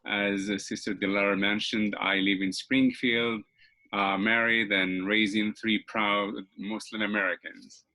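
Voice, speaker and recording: A man from Morocco with a Moroccan accent, a legislative staffer giving formal, earnest, slightly halting remarks at an online round table. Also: fairly low pitch, flat delivery, sharp tone. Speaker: state legislature staff member.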